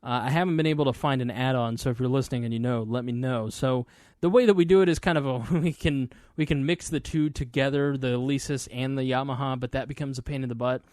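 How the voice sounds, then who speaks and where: Male podcast host, relaxed rambling chat, studio mic, dead-dry sound. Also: medium pitch, quick pace, no echo. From Indiana, US. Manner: chatty, informal, many fillers and restarts. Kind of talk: casual solo talk about podcast gear. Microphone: studio microphone.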